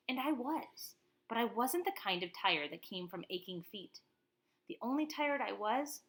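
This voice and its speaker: A woman voicing a calm, resolute woman activist who tells her life story in a picture book, earnest and emphatic. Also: mid-range voice. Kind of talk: first-person picture-book narration.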